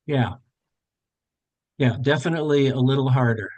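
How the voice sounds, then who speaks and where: An older man runs a live online workshop for tutors, narrating each step as he goes. His voice sits in an ordinary middle pitch, and he talks it through with plenty of fillers and self-corrections. The sound is dry and close, like a video call from a home office. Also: normal speed, a little mellow, dry recording, highs cut off. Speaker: tutor trainer. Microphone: webcam on a video call.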